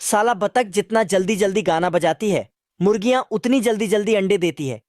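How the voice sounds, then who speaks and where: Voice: mid-range voice, lively intonation, fairly quick, a little mellow, no echo, studio quality, faint music behind. A narrator recapping a cartoon in a casual, chatty way with a bit of street slang. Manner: asks viewers to comment.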